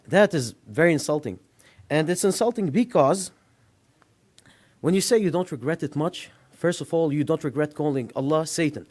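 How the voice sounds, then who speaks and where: A man speaks at a podium mic in a public meeting room, his voice sitting a bit high for a man. He confronts an official head-on in a public comment, pressing each point firmly. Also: lively intonation, moderate pace, darkish tone. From Lebanon. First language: Arabic. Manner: confrontational, addresses an official directly.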